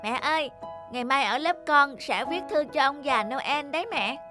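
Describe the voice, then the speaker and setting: An eager little cartoon boy with a high, childlike voice. He talks politely, with music behind him. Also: animated, moderate pace. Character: eager young schoolboy talking to his mother. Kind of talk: cartoon dialogue.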